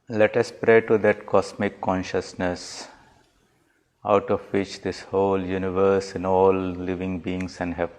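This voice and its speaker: A man with a low voice guides a meditation as a swami. His delivery is very slow and even, with little rise or fall in pitch. He phrases each instruction calmly and deliberately. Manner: calm, measured, deliberate phrasing.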